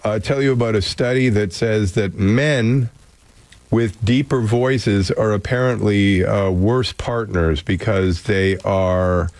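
A man hosting a call-in talk radio show, opinionated and ranting, with frequent filler pauses. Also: fairly low pitch, no room sound. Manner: opinionated, animated, ranting asides. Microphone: studio microphone.

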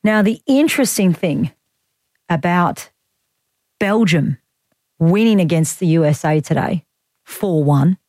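A woman's voice: on the low side, lively intonation, slow pace, pauses often, darkish tone, no room sound, studio quality. A woman, hosting a talkback radio show in a chatty, rambling way with personal asides and easy laughs. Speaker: radio talk show host. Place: radio studio.

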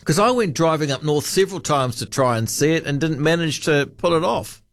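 A man's voice: on the high side, lively intonation, brisk, dry recording. Nz radio host guy, dry offhand chat, very dead studio sound. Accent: New Zealand. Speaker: late-night talkback radio host. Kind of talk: casual talkback radio chat. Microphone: studio broadcast mic.

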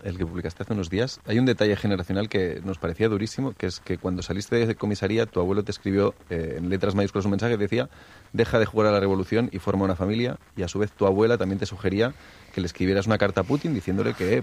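Male co-host, quick and low, fluent and well prepared. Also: a little mellow. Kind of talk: radio interview.

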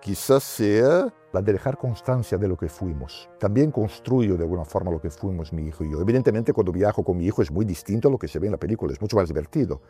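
A man's voice: sits low, animated, fast, warm tone, husky, soft background music. A middle-aged male filmmaker from Brazil, answering interview questions in a thoughtful, musing way with frequent tag questions. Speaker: documentary filmmaker.

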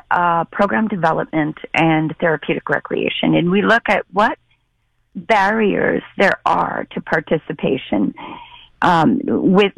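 A woman's voice: lowish voice, gravelly, no echo, highs cut off. A woman speaking over the phone in a live radio interview, explaining a senior center program in an earnest way with some hesitation. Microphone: telephone.

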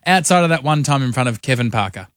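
A man co-hosting a radio show, chatting and reacting with quick self-mocking remarks. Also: mid-range voice, animated, a bit bright, no room sound, clean studio sound. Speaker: radio co-host. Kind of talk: casual radio banter.

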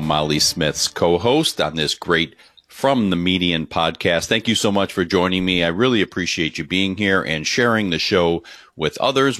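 A man's voice: deep voice, a bit bright, no echo, clean studio sound. A man hosting a podcast interview, upbeat and earnest as he welcomes listeners and introduces his guest. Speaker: podcast co-host. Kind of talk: hosted interview.

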